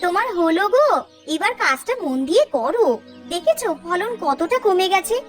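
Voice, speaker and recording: A woman's voice: very high voice, very animated, normal speed, soft background music. A woman voicing a stubborn, nagging farmer's wife in a cartoon, scolding and insistent in manner. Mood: reproachful. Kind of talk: cartoon voice acting.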